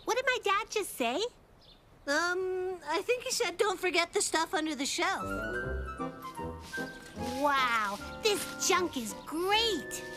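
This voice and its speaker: A woman voicing a quick-witted, mischievous little kid in a children's cartoon. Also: very high-pitched, expressive, over music, full character voice. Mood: pleased, curious.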